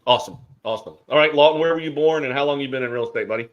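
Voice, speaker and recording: A man's voice: mid-range voice, racing, muffled recording. Middle-aged guy hosting, chatty and jokey, lots of ums, regular mid voice. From Alabama. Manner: chatty, joking, many fillers. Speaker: host. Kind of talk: casual chat.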